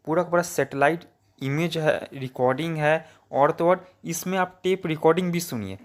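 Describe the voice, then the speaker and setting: A man's voice: a little high, normal speed. An Indian man giving informal, opinionated news commentary in a colloquial, repetitive way.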